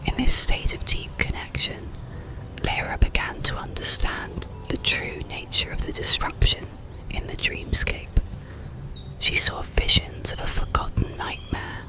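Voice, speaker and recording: Very slow, whispering bedtime story narrator. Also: poor audio. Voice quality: breathy whisper. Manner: calm, unhurried, soothing. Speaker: audiobook narrator.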